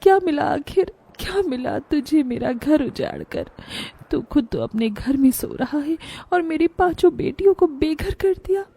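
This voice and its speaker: A woman's voice: high voice, sing-song, unhurried, creaky, hardly any pauses, mellow, exaggerated voice. A woman voicing a poor, hardworking mother in a cartoon story, loving and earnest, with an emotional delivery. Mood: anguished.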